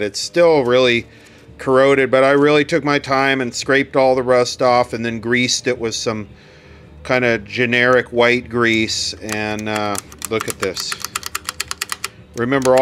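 A man's voice: mid-range voice, fairly bright tone, slight background noise. A man talking casually and matter-of-factly through hands-on repair work, rambling a little as he goes. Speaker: radio repair hobbyist, video host.

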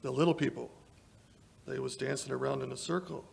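Guy doing a really croaky frail old country man, dazed n halting, old radio drama. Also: mid-range voice, steady tone, darkish tone, slight background noise. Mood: weak, wistful. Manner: halting, drawn-out delirious rambling. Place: small indoor stage, live performance. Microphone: vintage-style stand microphone.

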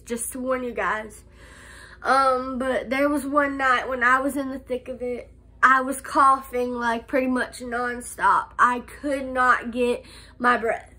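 A young woman recovering from a cold, talking slowly with a nasal voice and hesitating often in a casual vlog recorded close to a phone camera indoors. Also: on the high side, bright, forceful tone. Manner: slow, halting, many "um"s and restarts. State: nasal from a cold, slight cough. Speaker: vlogger. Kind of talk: casual life update vlog. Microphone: phone camera held close.

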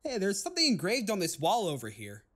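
A man playing an eager, sardonic adventurer with a husky voice in an audio drama. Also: sits high, huge pitch swings, quick pace, fairly bright tone, heavily acted. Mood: curious.

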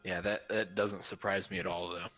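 A man's voice: low voice, little pitch movement, moderate pace, a bit bright, rough recording. A man bantering casually with fellow players in a dry, teasing way. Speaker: player in an online game session. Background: music.

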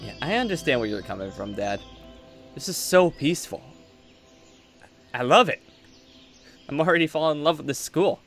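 A man plays an eager teenage student in a Minecraft roleplay. The voice is fairly high and very animated, casual and full of curious questions. There is faint music behind it. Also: normal speed, pauses often. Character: excitable teenage son, new academy student, curious. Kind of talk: improvised Minecraft anime roleplay.

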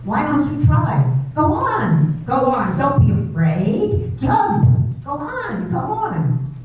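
A woman voicing a cold, cruel female housekeeper in a 1930s-style radio drama, talking in a low, insinuating, taunting way. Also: huge pitch swings, slow, faint music behind, exaggerated voice. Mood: taunting, menacing. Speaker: reader in an amateur theater group. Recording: echoey tinny hall recording from far off, with noise.

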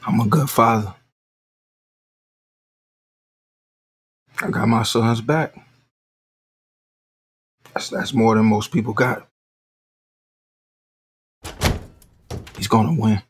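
Blunt, combative working dad. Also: male voice, fairly low pitch, moderate pace, husky. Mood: defensive, subdued. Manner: blunt, streetwise. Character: hard-pressed, combative, protective working father of a schoolboy. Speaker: actor. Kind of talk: audio drama acting.

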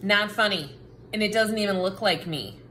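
A woman's voice: mid-range voice, normal speed, pushed and bright, slight background noise, lightly acted. A woman voicing a cranky, bossy talking picture book, full of dramatic exasperation, in a children's read-aloud. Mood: cranky, exasperated.

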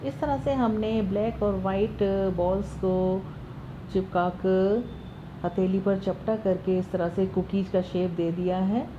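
A woman hosting a cooking channel, calmly talking through the steps of a recipe in her home kitchen, recorded on a phone. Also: mid-range voice, highs cut off, noisy background. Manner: calm, instructive, repeats steps. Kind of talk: step-by-step recipe voice-over.

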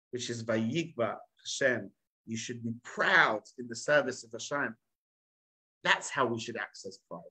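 A man in his forties, a rabbi, teaches an online class from his study. He talks in an animated, emphatic way over a webcam on a video call. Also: medium pitch, rough recording. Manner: animated, emphatic, gesturing a lot. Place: home study with bookshelves, indoors.

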